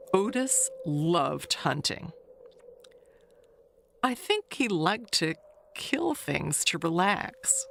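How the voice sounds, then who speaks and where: A woman playing a gossipy, wistful, never-married older neighbor who confides with pointed pauses and hushed asides in an audio mystery monologue. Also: medium pitch, vocal fry, slight background noise, full character voice. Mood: animated gossip.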